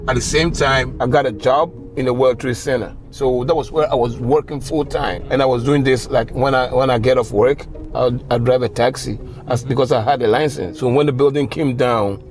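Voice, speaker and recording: Middle-aged Ghanaian-born cabbie, laid-back. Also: male voice, mid-range voice, normal speed, hardly any pauses, faint music behind. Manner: relaxed storytelling with slang. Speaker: taxi driver. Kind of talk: casual interview in a cab. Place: inside a taxi.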